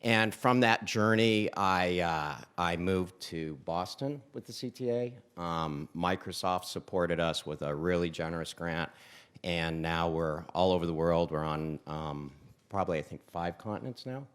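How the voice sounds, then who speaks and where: A man on a conference stage, telling a lively founder's story as a panelist. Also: unhurried, clean tone. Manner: animated storyteller, pitch jumps for emphasis. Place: conference stage before an audience.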